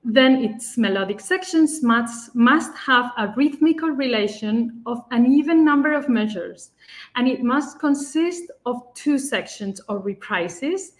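Woman, 30s, fairly high voice, lecturer reading carefully from notes over a webcam call. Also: animated. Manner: careful, measured delivery with occasional restarts. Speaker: fortepianist presenter. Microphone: webcam on a video call.

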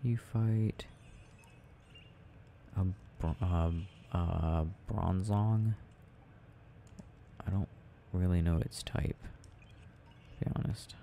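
A man with a mellow, gravelly voice rambles through a video game in an ASMR style. He muses to himself haltingly and takes his time, with slight noise behind him. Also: low voice, fairly even intonation. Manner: murmured, halting, musing to himself. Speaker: audio performer playing a boyfriend gaming.

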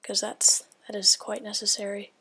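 A woman's voice: medium pitch, level tone, moderate pace, bright, forceful tone, slightly rough. A woman giving casual gameplay commentary, thinking aloud in a rambling way and often dropping to a whisper. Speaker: gaming video creator.

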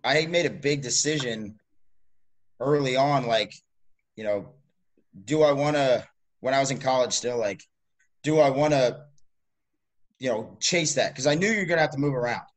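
A man's voice: medium pitch, moderate pace, long pauses. A man in his mid twenties, a college strength coach co-hosting a podcast, rambling in an upbeat casual chat with many fillers. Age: 20s.

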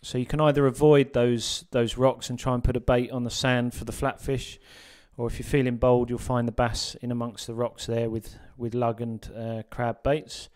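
Guy talking through a fishing spot, smooth clear voice, lots of ums. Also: medium pitch, moderate pace, hardly any pauses. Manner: relaxed, with frequent ums and uhs. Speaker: sea fishing guide presenter. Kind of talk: voice-over guide narration.

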